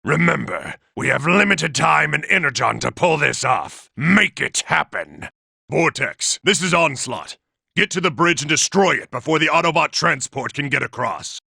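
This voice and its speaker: A giant war robot from a video game, a male military tactician used to command. The voice is heavily gravelly and rasping, with a hard, cutting edge. He is impatient and forceful, and his pitch moves widely. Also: expressive, normal speed, dry recording, studio quality, exaggerated voice. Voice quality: gravelly, raspy. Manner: forceful, barking orders. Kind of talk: video game character acting.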